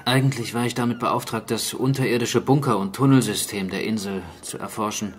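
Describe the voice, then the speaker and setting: An actor playing a gruff, wry stranded archaeologist in a naturalistic radio drama, brusque and matter-of-fact. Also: male voice, fairly low pitch, a little fast, slight background noise, close to an everyday voice.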